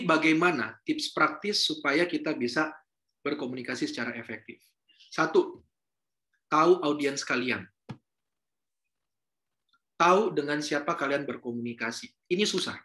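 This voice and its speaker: A man's voice: on the high side, bright, forceful tone. A man giving a casual university lecture, chatting with students, asking them questions and slipping in Javanese and English words. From North Sulawesi, Indonesia.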